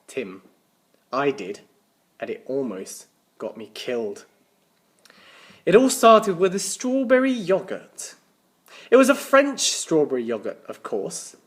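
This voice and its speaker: A man reading a children's story aloud as a teacher, with animated, dramatic emphasis and the odd stumble over words. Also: mid-range voice. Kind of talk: expressive children's storybook narration.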